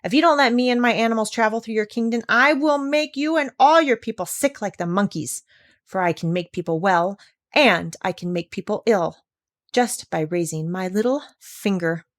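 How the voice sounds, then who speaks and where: A woman's voice: medium pitch, normal speed, voice pushed up, close to an everyday voice. A woman voicing a storybook parrot who impersonates a boastful male doctor, pompous and threatening. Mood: grand, threatening. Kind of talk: storybook read-aloud character voice.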